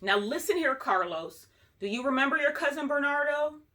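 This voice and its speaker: A woman's voice: high-pitched, animated, normal speed, sharp tone, voice pushed up. A woman voicing a serious, bossy, slightly irritated mother in a children's storybook, firm and no-nonsense. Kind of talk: storybook read-aloud dialogue.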